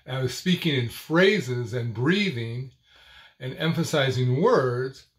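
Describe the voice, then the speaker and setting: Slow, deliberate older man talking to camera. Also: on the high side. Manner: slow, deliberate phrasing with pauses. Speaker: host of a video series on stuttering. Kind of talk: personal talk to camera. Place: small indoor room at home.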